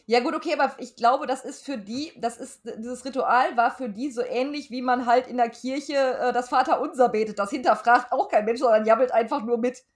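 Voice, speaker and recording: A woman's voice: a little high, brisk, hardly any pauses. A woman chatting casually as a podcast co-host, blunt and colloquial in the way she puts things. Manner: blunt, colloquial, salty-tongued. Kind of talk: casual podcast chat reviewing an audio drama.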